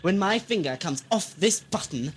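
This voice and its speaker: A man's voice: high-pitched, expressive, moderate pace, slight background noise. A man playing a megalomaniac deposed lord in a sci-fi audio drama, gloating and vengeful in grand theatrical speeches. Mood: gloating menace.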